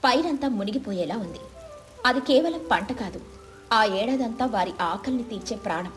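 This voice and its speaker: A woman's voice: fairly high pitch, animated, normal speed, soft background music. A woman narrating a scripted moral story.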